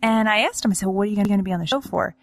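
A woman's voice: medium pitch, racing, dry recording. A woman hosting a casual podcast chat, telling a rambling story with frequent laughs and restarts. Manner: chatty storyteller, laughs often, trails off and restarts. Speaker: real estate agent and podcast host.

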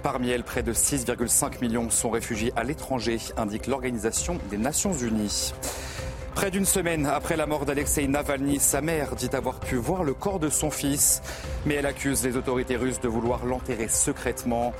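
A man reading the news headlines in a crisp, measured bulletin delivery. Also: mid-range voice, over music.

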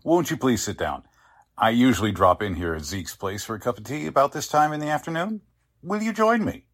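A man's voice: medium pitch, very animated, fairly quick. A man playing a courteous, self-assured small-town doctor in 1940s radio drama style, genial and a little patronising. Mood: genial, surprised.

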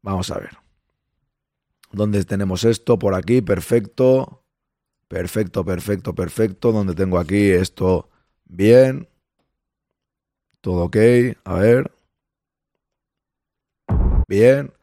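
A man with a Spain Spanish accent hosting a casual livestream, a bit hoarse, chatting with viewers and greeting them by name. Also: low voice, takes it slowly, pauses often, warm tone, dry recording, clean studio sound. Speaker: livestream host. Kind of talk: casual live chat with viewers.

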